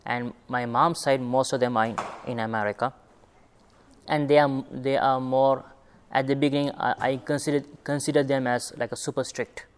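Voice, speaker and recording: A young man who grew up in Sri Lanka, telling his own story in an interview in an unhurried way, often searching for his words. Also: medium pitch, little pitch movement, slight background noise. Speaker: former student interviewee. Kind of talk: reflective personal interview.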